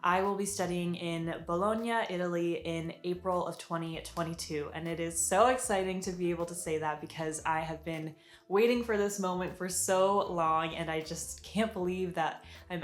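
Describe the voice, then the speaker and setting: A woman's voice: on the low side, roomy sound. A young American woman chatting upbeat and casual in an advice vlog, with lots of fillers. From United States. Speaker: vlogger.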